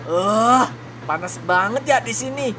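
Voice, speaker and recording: A man's voice: high voice, unhurried, pushed and bright, slight background noise. A man playing a defiant young male student and worker in a cartoon drama, with a slangy, argumentative manner. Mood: relaxed muttering. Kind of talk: animated cartoon drama dialogue. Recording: music behind the voice.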